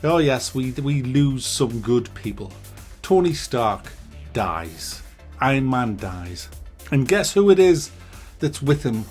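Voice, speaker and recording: A man giving a ranting movie review, animated, sarcastic and full of swearing. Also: mid-range voice, slow pace, faint music behind.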